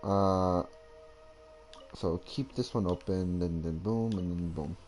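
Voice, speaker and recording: A man giving casual gameplay commentary, thinking aloud in a halting way. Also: low-pitched, darkish tone, soft background music. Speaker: gamer.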